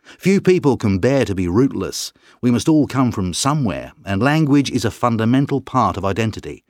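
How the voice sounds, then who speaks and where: Male actor as calm articulate writer guest, expressive delivery, dry studio read. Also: mid-range voice, normal speed, dry recording, natural voice. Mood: earnest. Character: man, calm thoughtful writer and broadcaster. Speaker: interview guest. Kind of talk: scripted exam interview.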